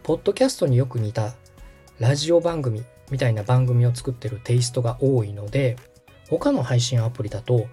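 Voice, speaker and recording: A man's voice: medium pitch, a little fast, mellow, husky, faint music behind. A man hosting a step-by-step tutorial, explaining things politely and guiding the listener along. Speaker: podcast host and tutorial instructor, radio director.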